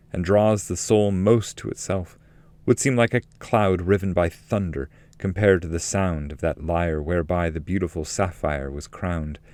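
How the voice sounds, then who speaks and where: Low-pitched man solemnly reading epic poetry. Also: darkish tone, no echo. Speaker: narrator, poetry reader.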